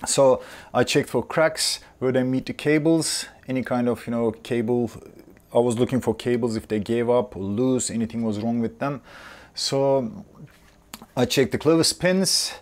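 A man's voice: medium pitch, moderate pace, darkish tone. A man in his forties, a rigger, listing inspection points in short broken phrases, recorded on a lapel mic in a workshop. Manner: non-native, fragmented phrasing, listing checks. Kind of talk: technical interview. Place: workshop, indoors.

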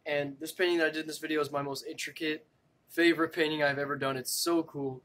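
A man's voice: fairly high pitch, flat delivery, fast, a bit bright. A young man, a spray paint artist, chatting upbeat and directly to viewers in a casual vlog intro, filmed on a phone held at arm's length indoors. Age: young adult. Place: indoor room. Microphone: phone camera at arm's length.

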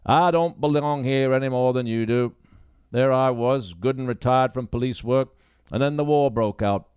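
A man's voice: mid-range voice, moderate pace, a little mellow, clean tone, no echo, clean studio sound, lightly acted. A man voicing an elderly male constable, an easygoing and kindly old-timer in an audiobook. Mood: friendly.

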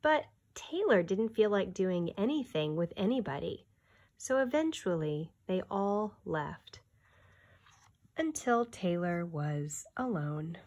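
A woman in her thirties, a teacher giving an expressive, deliberate read-aloud of a children's picture book. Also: on the low side.

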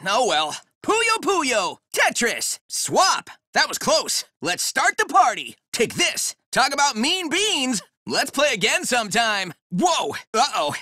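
Cocky blue hedgehog guy, high bright voice, bouncy game callouts, dry booth sound. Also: no echo, full character voice. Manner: cocky, punchy one-liners. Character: cocky young male blue hedgehog, brash and upbeat. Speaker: game character voice. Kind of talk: game voice clips, short shouted callouts. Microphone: close studio mic in a voice booth.

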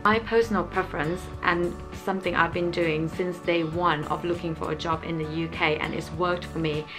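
A woman's voice: lowish voice, steady tone, moderate pace, over music. A young woman from Thailand, hosting an advice vlog in an earnest, explanatory way, speaking to camera at home with small grammar slips. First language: Thai. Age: young adult. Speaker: channel host. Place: indoor home room, small. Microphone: camera framing, head and shoulders.

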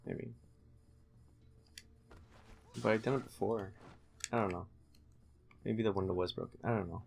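A young man giving casual, halting, low-key commentary while concentrating on playing a video game. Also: steady tone, gravelly, a little mellow, slight background noise. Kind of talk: casual let's-play commentary.

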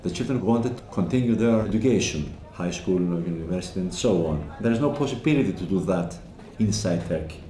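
A man's voice: low-pitched, expressive, brisk, warm tone. A man interviewed indoors, speaking earnestly about a crisis with slightly non-native phrasing. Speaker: activist interviewee. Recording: roomy echo, faint hiss.

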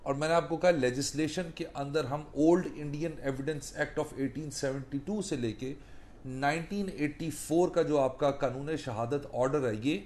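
A man in his thirties with a Pakistani accent, explaining emphatically like a lawyer giving a lecture, deliberate when he switches into English. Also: fairly high pitch, darkish tone. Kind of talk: explanatory talk mixing Urdu and English. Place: small book-lined law office.

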